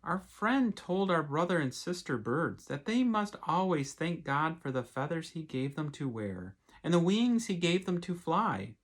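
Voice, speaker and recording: Husky male pastor with lively, expressive pitch. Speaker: pastor reading a children's story. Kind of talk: expressive storybook read-aloud with casual asides.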